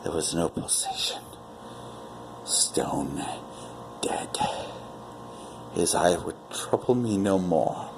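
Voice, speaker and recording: A man's voice: a bass voice, sing-song, slow, creaky, long pauses, voice pushed down, heavily acted. A man performing a dramatic gothic horror reading as a mad, cunning murderer who is boastful and frantic with guilt, in a theatrical manner. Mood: hushed, grim. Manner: theatrical, swinging from whispers to manic outbursts. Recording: noisy amateur one-take home recording.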